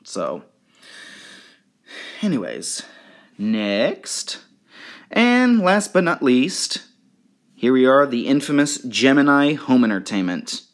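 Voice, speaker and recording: A man talking casually and a little haltingly, presenting items in an informal show-and-tell vlog. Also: mid-range voice, big pitch swings. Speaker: video creator showing fan merchandise.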